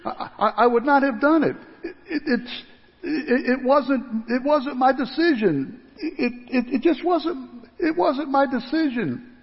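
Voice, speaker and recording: A man playing a guilt-ridden Roman governor in a dramatic first-person monologue, swinging between hushed and loud delivery. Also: very high voice, unhurried, husky, full character voice. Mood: defensive. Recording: dull lo-fi sound with long hall reverb.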